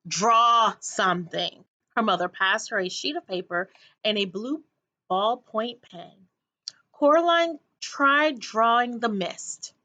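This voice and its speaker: Woman reading a kids book out loud, expressive, takes her time, lots of pitch movement. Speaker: read-aloud reader, teacher. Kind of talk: expressive children's book narration.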